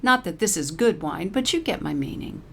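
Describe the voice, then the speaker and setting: A sharp-tongued old woman put on by a female storyteller in a comic short story. She is pushy and wisecracking, quick to demand and to needle. There is clear noise behind the voice. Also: lowish voice, lively intonation. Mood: smug, cheeky.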